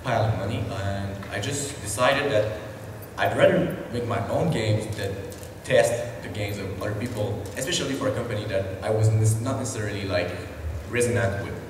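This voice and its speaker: A young man with a French accent talks about founding an indie studio, careful and earnest, sometimes hunting for the right word. His voice sits a bit low. It comes across a large convention hall with long reverb and steady background noise. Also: moderate pace, hardly any pauses. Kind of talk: telling his story from the audience at a panel. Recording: echoey convention hall from far off.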